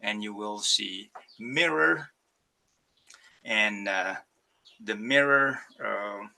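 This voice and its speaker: Slow, gravelly man from Indonesia. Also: lowish voice, pushed and bright. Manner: hesitant, many fillers and restarts. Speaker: ceramic artist. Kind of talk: virtual artist talk presenting slides. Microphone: video call.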